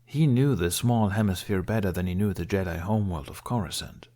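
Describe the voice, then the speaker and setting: A man's voice: low voice, fairly quick, warm tone. A man reading a scripted story aloud as an audiobook narrator. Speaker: audiobook reader. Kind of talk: scripted narration of fan fiction.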